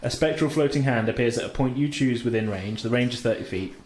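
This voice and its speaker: A man acting as game master, narrating and explaining rules in a halting, casual, chuckling way. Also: mid-range voice, darkish tone. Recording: roomy, echoing home recording with faint noise.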